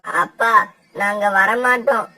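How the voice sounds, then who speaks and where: A woman's voice: on the high side, slow pace, pushed and bright, distant mic, heavily acted. A woman voicing a stubborn, earnest young bird child in a cartoon.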